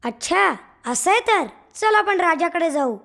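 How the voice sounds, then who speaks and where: A woman's voice: very high-pitched, expressive, measured pace, gravelly, fairly bright tone, full character voice. A woman voicing a small, earnest and argumentative animal in a children's cartoon fable. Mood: indignant.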